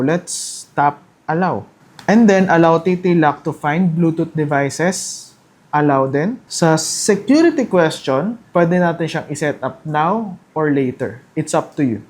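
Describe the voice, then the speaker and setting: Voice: mid-range voice, darkish tone. A presenter with a Filipino accent explains a product step by step in a casual, conversational and instructional way.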